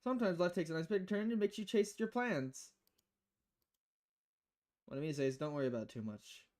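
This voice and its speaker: A woman's voice: on the low side, lively intonation, fairly quick, a little mellow, lightly acted. A woman voicing a laid-back bartender giving advice in a visual novel. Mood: thoughtful. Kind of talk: visual novel dialogue read aloud.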